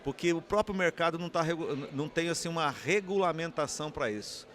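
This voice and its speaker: A man's voice: fairly high pitch, fast talker, a bit bright. A man with a Brazilian Portuguese accent giving an unscripted interview answer, explaining and often posing rhetorical questions. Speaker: interviewee, communications academic.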